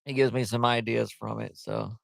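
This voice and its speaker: A man's voice: medium pitch, steady tone, moderate pace, gravelly, dry recording. A man hosting a casual livestream, rambling in a loose, chatty way with lots of fillers, talking into a headset mic. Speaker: livestream host.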